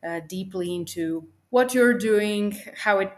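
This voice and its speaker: A woman's voice: mid-range voice, unhurried. Young woman hosting a podcast interview. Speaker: podcast host.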